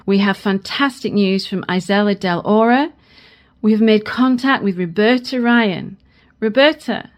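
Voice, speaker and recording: An actress playing a formal, earnest female news anchor in a science fiction radio play, speaking in measured phrases. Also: mid-range voice, close to an everyday voice. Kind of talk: scripted radio news acting.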